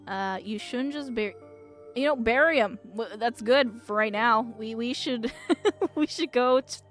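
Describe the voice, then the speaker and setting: A woman's voice: a little high, a little slow, fairly bright tone, soft background music. A woman doing casual, giggly, reactive commentary while she plays a game and reads it aloud. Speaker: streamer playing a visual novel.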